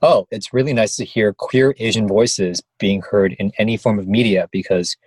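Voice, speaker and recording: A man's voice: lowish voice, fairly even intonation, normal speed, darkish tone, slightly rough, no room sound. A young man co-hosting a podcast in casual chat, sassy and teasing. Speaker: podcast co-host.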